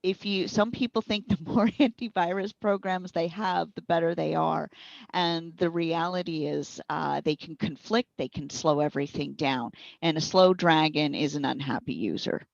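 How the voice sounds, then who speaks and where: A woman speaking as a consultant in an interview, explaining things informally with careful, measured wording. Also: deep voice, gravelly, no echo. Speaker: speech recognition consultant and trainer being interviewed. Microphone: wireless headset. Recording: dull, band-limited internet call audio.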